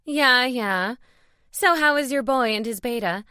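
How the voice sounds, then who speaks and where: A brash older woman meddles with playful relish as a female narrator acts her out. Her voice is pushed higher and comes across bold and teasing, in very dry sound. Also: measured pace, pushed and bright, smooth, no room sound. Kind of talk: audiobook character dialogue.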